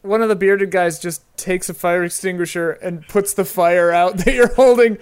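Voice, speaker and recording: Man as bearded ski bum, taunting bro talk, pitched high. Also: fairly even intonation, moderate pace, smooth, natural voice. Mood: mocking. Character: bearded male slacker ski bum, mocking. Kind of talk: improvised tabletop roleplay.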